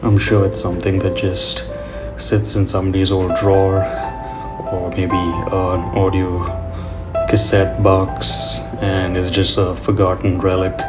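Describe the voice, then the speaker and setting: Man, middle-aged, low voice, very flat intonation, casual rambling voiceover, nostalgic. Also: a little slow. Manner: nostalgic, reflective. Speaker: host of a drawing tutorial channel. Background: guitar music.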